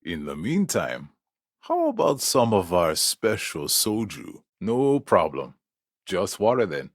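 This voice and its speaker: A man's voice: low voice, huge pitch swings, slow, no echo, clean studio sound, heavily acted. An actor playing an obliging, easygoing male restaurant server in a video game, polite and accommodating. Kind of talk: video game character acting.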